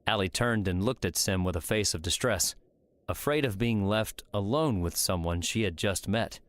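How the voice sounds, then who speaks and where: A man's voice: fairly low pitch, normal speed, no echo. A man reading scripted story narration as an audiobook narrator.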